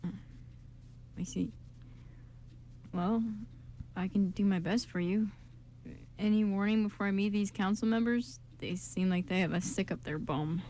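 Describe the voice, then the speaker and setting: A woman's voice: medium pitch, measured pace, pauses often, dark tone, a little hiss or hum, lightly acted. A woman playing a blunt, wary but loyal male friend in an amateur radio play, with a hesitant, slangy delivery. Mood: subdued, casual.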